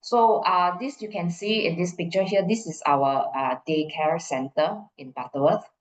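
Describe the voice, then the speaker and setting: A woman's voice: fairly low pitch, fairly even intonation, moderate pace. A middle-aged woman with a Malaysian accent giving an informal explanatory talk, repeating phrases for emphasis and checking in with her listeners. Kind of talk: conference presentation, informal and explanatory. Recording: muffled, echoey room.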